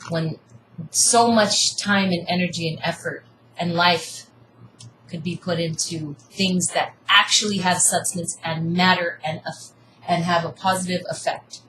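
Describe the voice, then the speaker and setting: A woman's voice: on the low side, fairly even intonation, a little slow, sharp tone. Insistent woman in an echoey room. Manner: earnest, insistent, preachy about seeking truth. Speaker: co-host debating. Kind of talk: casual argument. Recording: echoey room, faint hiss.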